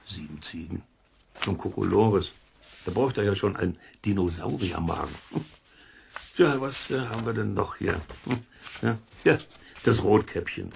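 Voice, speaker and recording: Low, creaky, folksy amateur sleuth. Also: male voice, animated, measured pace, long pauses, slight background noise, exaggerated voice. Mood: musing, amused. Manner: musing, chuckling, colloquial, talks to listeners. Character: folksy, curious amateur investigator, chatty middle-aged man. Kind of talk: German children's audio drama, chatty explaining.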